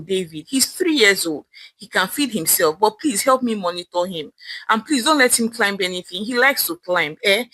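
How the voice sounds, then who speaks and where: A woman's voice: mid-range voice, bright, forceful tone, poor audio. Harried, pleading Nigerian mother, played by a storyteller. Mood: busy, instructing. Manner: hurried, pleading, chatty. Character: harried, pleading working mother dropping off her child.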